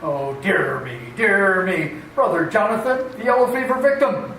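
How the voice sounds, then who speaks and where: A man's voice: sits high, measured pace, a bit bright, a little hiss or hum. Guy playing jolly delusional fake president, radio drama, far off mic in echoey room. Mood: grave. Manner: pompous, hearty. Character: deluded, jovial gentleman believing himself President Roosevelt. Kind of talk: amateur radio play acting.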